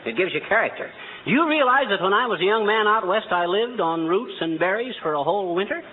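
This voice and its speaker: A man's voice: high-pitched, lively intonation, quick pace. A man playing a boastful, blustering middle-aged husband in a 1940s radio comedy, folksy and ungrammatical. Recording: narrow-band, noisy vintage radio recording.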